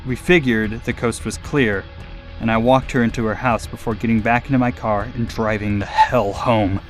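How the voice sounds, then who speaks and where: A man narrating a personal scary story as a scripted dramatic reading. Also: on the low side.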